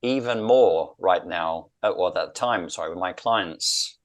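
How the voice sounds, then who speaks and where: A man's voice: low-pitched, a little slow. A middle-aged man from London with a southern English accent, talking reflectively and earnestly as an interview guest, often repeating phrases for emphasis. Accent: English, southern British. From London, England. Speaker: interview guest, executive coach.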